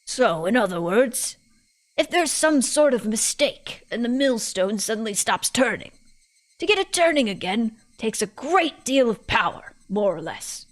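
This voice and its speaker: A woman's voice: on the high side, very animated, measured pace. A woman voicing a stubborn, long-winded old country woman who tells her stories in a folksy, emphatic way. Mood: lecturing.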